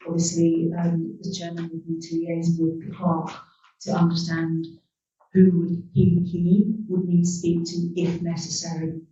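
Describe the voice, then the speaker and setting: A woman councillor at a formal meeting, putting forward a motion and reading its wording slowly and carefully. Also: on the low side, dark tone, slight background noise. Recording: distant, echoey, dull room mic.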